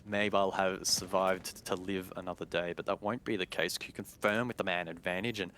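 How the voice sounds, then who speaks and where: A man doing live play-by-play commentary on an esports match, analytical and excitable on key plays. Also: low-pitched, normal speed, slight background noise.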